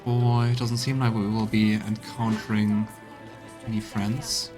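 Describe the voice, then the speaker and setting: A male game streamer with a mid-pitched voice gives let's play commentary, moving between reading aloud and reacting to the game. Music is clearly audible behind him. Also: flat delivery, measured pace.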